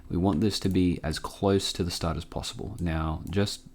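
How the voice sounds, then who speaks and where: A man hosting a casual software tutorial, informal and a bit hesitant as he thinks aloud. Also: sits low, steady tone, moderate pace. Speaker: tutorial host.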